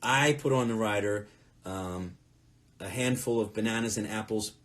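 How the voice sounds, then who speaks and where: A man's voice: fairly low pitch, normal speed. A man chatting casually from home during a live fan Q&A, telling rambling anecdotes. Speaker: musician hosting a fan Q&A. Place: at home, next to a closet.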